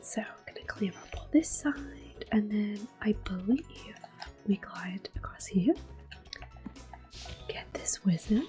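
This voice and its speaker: A woman whispering soft, unhurried commentary as she plays through a video game for an ASMR channel. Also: mid-range voice, lively intonation, faint music behind. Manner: soft, unhurried whisper with occasional soft-spoken words. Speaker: ASMR gameplay host.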